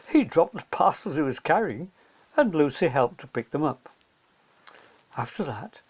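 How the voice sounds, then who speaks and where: A man's voice: fairly high pitch, darkish tone, husky. A man narrating a scripted children's story as a storyteller.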